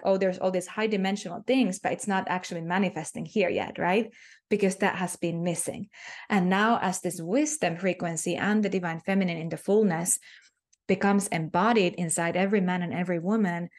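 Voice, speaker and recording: A woman's voice: fairly low pitch, steady tone, normal speed, darkish tone. A Finnish-accented woman, a guest on an interview, speaking earnestly about spiritual ideas and repeating herself with many fillers. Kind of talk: spiritual talk.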